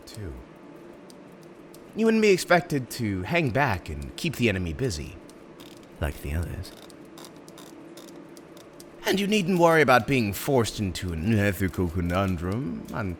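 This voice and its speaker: Guy doing a smug polite supervillain for asmr rp, theatrical, very animated delivery, some noise behind him. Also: on the low side. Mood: confiding, smug. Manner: theatrical, courteous, self-satisfied, emphatic. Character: urbane, smug male supervillain interviewing a prospective minion. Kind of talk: ASMR roleplay monologue.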